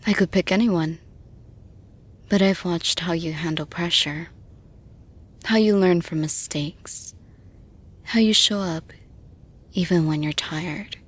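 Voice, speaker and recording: A woman playing a composed, supportive female boss in an intimate roleplay audio, speaking to the listener in a measured, reassuring, soft manner. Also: fairly low pitch, breathy edge. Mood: calm, reassuring.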